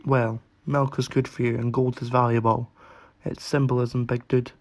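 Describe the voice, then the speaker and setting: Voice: medium pitch, level tone, moderate pace, a little mellow, slight background noise, close to an everyday voice. An actor playing an awkward, anxious, self-deprecating young introverted man in short-story dialogue, hesitant and dry. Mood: dry banter.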